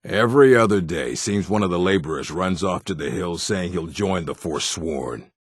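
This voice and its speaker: A man voicing a gruff, blunt, weary orc with a gravelly, husky voice for a video game. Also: sits low, animated, normal speed, dry recording, studio quality. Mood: gruff, irritated. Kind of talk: video game character acting.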